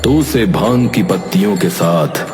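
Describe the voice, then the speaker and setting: A man voicing a kindly old holy man who gives gentle advice. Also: sits low, lively intonation. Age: older. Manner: slow, gentle, advising. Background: background music.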